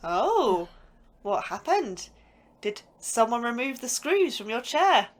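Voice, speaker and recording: A woman's voice: a little high, big pitch swings, takes it slowly. A woman playing a curious, chatty young science officer who likes to tease her colleagues in an audio drama.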